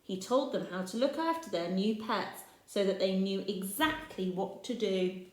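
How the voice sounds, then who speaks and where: A woman's voice: medium pitch, expressive, moderate pace. A woman teacher reading a picture book aloud to children, pointing out the pictures and asking the listener questions. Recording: echoey room.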